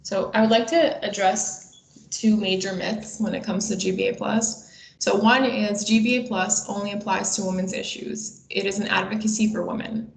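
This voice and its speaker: Young woman presenting, creaky voice, echoey room. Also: medium pitch, steady tone, moderate pace. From Bosnia and Herzegovina. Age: 20s. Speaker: webinar presenter. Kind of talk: scripted webinar presentation. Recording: roomy, echoey sound.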